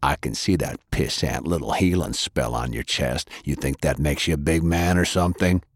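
Guy doing a big mean bully brute for an audiobook, real deep gruff growl, braggy and sneering. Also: moderate pace, no echo, studio quality. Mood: menacing boast. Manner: sneering, boastful. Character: brutish, taunting undead-tough brother, boastful and menacing. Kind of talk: audiobook character acting.

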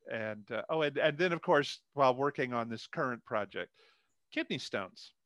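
A man's voice: medium pitch, lively intonation, moderate pace, a bit bright, dry recording. An older man, a guest author chatting casually about writing in a rambling way, with self-deprecating humour.

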